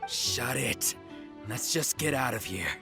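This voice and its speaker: A man voicing a boastful, gruff bandit boss in a video game, with a swaggering, theatrical delivery. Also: medium pitch, animated, takes it slowly, over music. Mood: irritated.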